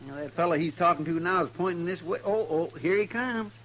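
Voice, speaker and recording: An actor playing a naive, folksy deputy sidekick with an in a radio Western. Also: male voice, high-pitched, fairly quick, fairly bright tone. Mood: excited, amused. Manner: eager, folksy, polite. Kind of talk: old-time radio Western acting. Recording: noisy old radio recording.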